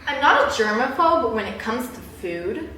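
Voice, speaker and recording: A young woman chatting casually in a personal vlog, with a bubbly, animated, giggly way of exaggerating things. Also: fairly high pitch, normal speed. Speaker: vlogger. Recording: roomy echo, distant mic, background hiss.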